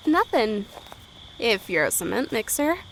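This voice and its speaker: A woman acting a brave, polite but defiant high school girl in a period audio drama, with folksy speech. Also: sing-song, unhurried, gravelly, slight background noise, heavily acted, rough recording. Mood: teasing, playful. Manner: folksy dialect grammar, plucky. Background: crickets and night insects.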